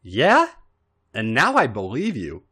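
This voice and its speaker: A man's voice: medium pitch, wildly swooping pitch, takes it slowly, pushed and bright, husky, exaggerated voice. A man voicing a fond, worldly woman who mentors a younger friend, coaxing with pet names in a story reading. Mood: fond, amused.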